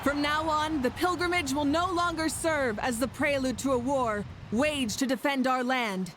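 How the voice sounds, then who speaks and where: A woman's voice: high voice, normal speed, background noise, natural voice. A woman voicing a confident female ruler in a video game, giving a rousing, commanding speech as tournament host. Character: confident female ruler addressing her people. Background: game music.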